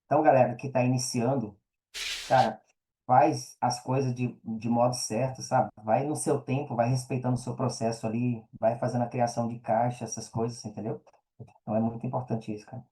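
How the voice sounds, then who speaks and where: A man's voice: medium pitch, little pitch movement, a little fast, mellow, poor audio. A man with a Brazilian Portuguese accent chatting casually as an interview guest, slangy and often checking that he is understood. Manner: slangy, peppers talk with check-in tags. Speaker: podcast guest, affiliate marketer.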